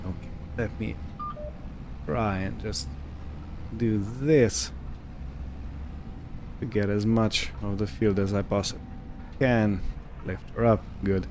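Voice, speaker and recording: A man giving casual roleplay game commentary, thinking aloud in short, unhurried phrases over a background hum. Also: fairly low pitch, fairly even intonation, darkish tone. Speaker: gaming video host.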